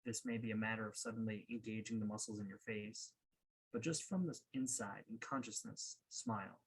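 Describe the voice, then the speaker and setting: A man leading a guided meditation as a coach, speaking in a calm, unhurried way with pauses. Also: lowish voice, slight background noise, low-quality recording.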